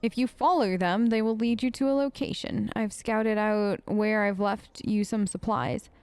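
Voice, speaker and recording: A woman's voice: medium pitch, steady tone, moderate pace, a little mellow, smooth, no echo. A woman hosting a role-play let's play, chatty and playful, talking close to the microphone. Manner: playful, bantering. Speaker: gaming video host.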